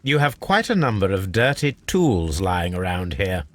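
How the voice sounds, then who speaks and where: An actor playing a brilliant, aloof gentleman detective in a video game, with a British accent and a precise, measured, analytical delivery. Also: deep voice, sing-song. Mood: probing. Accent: British English. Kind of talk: video game character acting.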